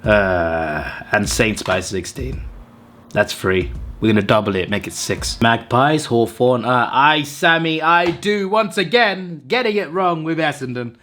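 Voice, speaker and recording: A hoarse man who is under the weather, hosting a channel and tallying wrong viewer tips with mock exasperation. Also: medium pitch, unhurried, fairly bright tone, a little hiss or hum. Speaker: channel host. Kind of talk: casual commentary reading out viewer tips.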